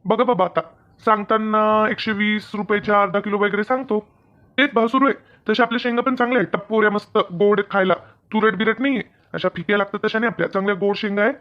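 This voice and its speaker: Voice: mid-range voice, steady tone, fast talker, dull audio, close to an everyday voice. A performer voicing a practical, good-natured male farm owner who nags his workers in a comedy cartoon, with a Maharashtrian accent and colloquial delivery. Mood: explaining. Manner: colloquial, nagging, instructing. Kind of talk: Marathi comedy cartoon dialogue.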